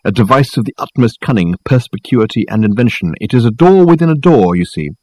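A man's voice: medium pitch, lively intonation, brisk, darkish tone, dry recording, natural voice. A male reader plays a grand, self-styled detective who lectures like a pompous professor. His voice swoops widely and theatrically, leaning hard on words, in a dry, close audiobook recording. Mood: grand, lecturing. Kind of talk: dramatised audiobook dialogue.

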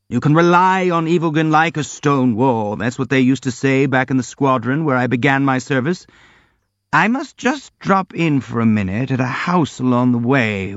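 A man's voice: mid-range voice, dry recording, lo-fi sound, natural voice. A man voicing an elderly, boastful army general who speaks in a grandiloquent, effusive way. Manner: grandiloquent, effusive, self-pitying.

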